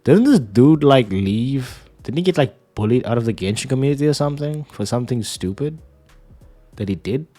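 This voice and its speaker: A man's voice: medium pitch, animated, fairly quick, warm tone, faint music behind. A man streamer with a stuffy, nasal voice from a sinus cold, chatting casually and jokingly about games. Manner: laid-back, joking, calls listener dude and bro. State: sick with sinus trouble.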